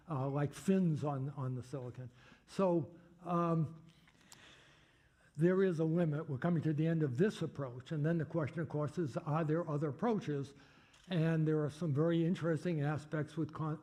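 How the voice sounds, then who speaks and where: An older man with a Boston accent, answering audience questions at a lecture in a halting way with many fillers. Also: a little high, mellow. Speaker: guest speaker.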